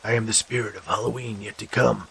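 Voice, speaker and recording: A man's voice: fairly low pitch, fairly even intonation, fast talker, vocal fry, fairly bright tone, slight background noise. A man voicing a weary, matter-of-fact ghost in a story, imitating a gritty movie superhero. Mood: ominous. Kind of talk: storybook character dialogue.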